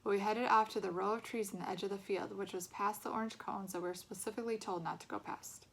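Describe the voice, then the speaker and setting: A woman reading a storybook aloud as an expressive narrator. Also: medium pitch, fast talker, vocal fry.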